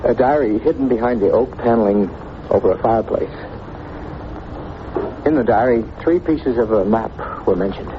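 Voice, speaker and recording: Male actor as brooding male killer, grandiose, theatrical, middle pitch, radio drama, muffled noisy recording. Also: normal speed, long pauses, lightly acted. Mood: confessing. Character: obsessed, embittered small-town murderer, grandiose adult man. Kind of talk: old-time radio drama acting.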